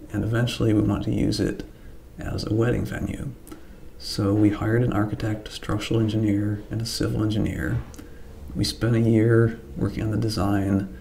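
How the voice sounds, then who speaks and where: A man's voice: fairly low pitch, creaky, warm tone, a little hiss or hum. A man in his forties hosting his own vlog, speaking plainly and earnestly, with ums and restarts. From Ohio, United States. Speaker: channel host, property developer. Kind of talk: casual vlog narration. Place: outdoors beside the barn. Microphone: camera held at arm's length.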